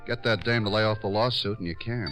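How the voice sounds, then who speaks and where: Male actor as worried American writer-director, insistent and halting, low register, old-time radio drama. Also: fairly bright tone, soft background music, close to an everyday voice. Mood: agitated, defensive. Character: earnest, pleading male writer-director, loyally defending his star. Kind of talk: 1950s radio drama acting.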